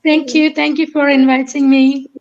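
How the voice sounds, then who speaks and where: Woman, slightly high, gravelly, hesitant with fillers, muffled call audio. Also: little pitch movement, moderate pace. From Kerala, India. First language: Malayalam. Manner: hesitant, many ums and uhs. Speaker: guest. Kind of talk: online panel interview.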